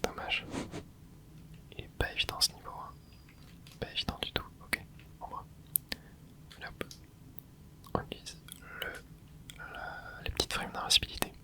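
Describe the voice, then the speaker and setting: A person whispering relaxed running commentary over a video game, with soft breathy tones, close to a microphone indoors. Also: measured pace, long pauses. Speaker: gaming ASMR host.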